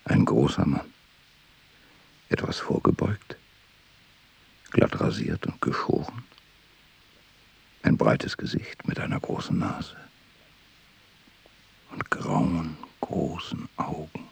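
An actor playing a secretive observer in a radio drama, giving grim reports in a husky half-whisper with slow, clipped phrasing. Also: male voice, extremely low pitch, very animated, exaggerated voice. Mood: hushed, watchful.

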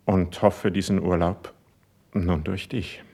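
Husky, low-voiced proud poet. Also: male voice, level tone, a little mellow, heavily acted. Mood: earnest, pleading. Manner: formal, measured declamation. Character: proud, wounded, sensitive male court poet, stubborn. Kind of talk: classical German stage verse in a radio play.

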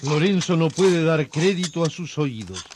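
An actor as the narrator of a radio serial, delivering dramatic scripted narration full of suspense. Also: male voice, on the high side, animated, measured pace, noisy background. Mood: dramatic, suspenseful.

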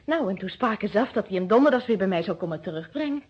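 A woman's voice: medium pitch, expressive, quick pace, slightly rough. A young woman playing a wary, flustered housekeeper in a radio drama, hesitant and defensive but chatty. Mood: flustered, explaining. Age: young adult. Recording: dull muffled old radio drama recording.

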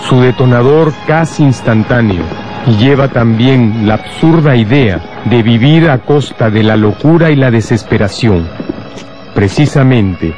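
A man's voice: on the low side, darkish tone. A man narrating a literary radio drama from a script. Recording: faint music behind the voice.

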